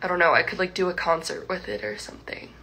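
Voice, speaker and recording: Bright-voiced young woman chatting to fans. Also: on the low side, fast talker, creaky. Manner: rambling, many likes and restarts, laughs often. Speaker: musician livestreaming to fans. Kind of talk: casual chat with viewers. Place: at home. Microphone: phone livestream.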